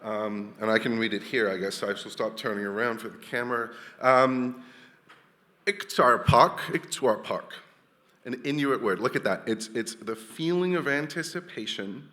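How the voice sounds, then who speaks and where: A man's voice: mid-range voice, moderate pace, sharp tone, in a large hall. A man from Wales, giving a chatty, jokey conference talk full of restarts. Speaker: conference speaker. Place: large hall.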